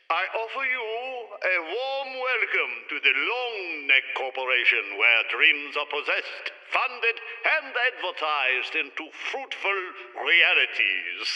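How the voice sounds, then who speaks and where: An actor playing a suave, scheming giraffe baron and corporate tycoon in a retro sci-fi radio play, grandiose and calmly menacing. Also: male voice, on the high side, long reverb tail, full character voice, poor audio.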